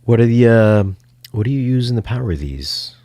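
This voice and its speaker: Guy asking casual questions, low n gravelly, bit halting, pretty dry recording. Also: expressive, dark tone, no echo. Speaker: interviewer. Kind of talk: casual interview chat.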